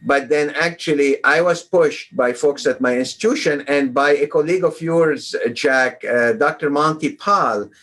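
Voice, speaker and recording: A man's voice: a little high, normal speed, few pauses, a bit bright. A middle-aged man from Lebanon, a guest oncologist talking casually in an interview over a video call, rambling with many fillers and restarts.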